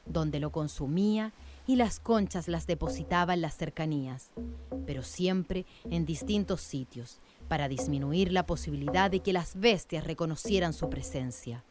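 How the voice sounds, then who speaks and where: A woman's voice: deep voice, moderate pace. A woman narrating a scripted radio drama in a literary storytelling manner. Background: music.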